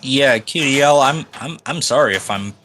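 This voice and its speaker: A man co-hosting a live game stream over a voice call, naturally loud, joking in a dry way. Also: mid-range voice, normal speed, slight background noise.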